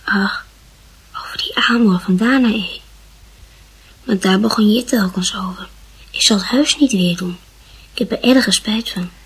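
A performer in a radio drama playing a contrite young boy who confides guiltily in his mother, in a husky voice. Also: medium pitch, a little slow, pauses often, fairly bright tone, exaggerated voice, poor audio. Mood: remorseful.

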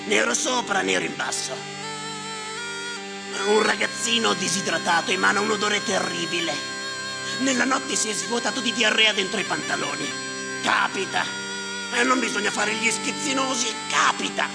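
An actor playing a boastful, bitter island general in a theatrical stage monologue, with a harsh, declamatory delivery. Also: male voice, high voice, lively intonation, normal speed, exaggerated voice. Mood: grim, cynical. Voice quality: harsh, gravelly voice. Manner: declamatory, commanding, emphatic, sardonic. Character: boastful, bitter, self-appointed island general herding dead spirits. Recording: echoing theater hall with live music.

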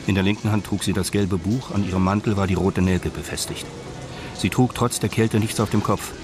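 Male narrator for crime radio play. Very low voice, scripted storytelling, clear street ambience underneath. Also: steady tone, brisk, noisy background.

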